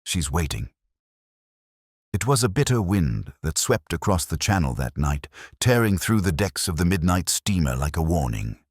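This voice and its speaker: A man narrating a scripted detective story as an audiobook, with measured, literary delivery. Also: deep voice, very animated, no room sound, studio quality.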